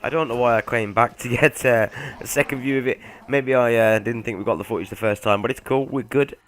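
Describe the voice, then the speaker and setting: A man's voice: mid-range voice, steady tone, brisk, a bit bright, faint music behind. A man hosting a gaming video, giving a laid-back walkthrough voice-over and speaking straight to his viewers. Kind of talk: casual game walkthrough voice-over.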